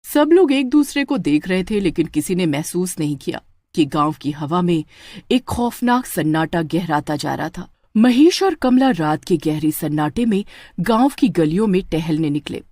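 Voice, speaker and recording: Expressive woman narrating a horror tale. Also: fairly low pitch, moderate pace, dry recording, studio quality. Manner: dramatic, with stammering in character lines. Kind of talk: scripted horror story narration.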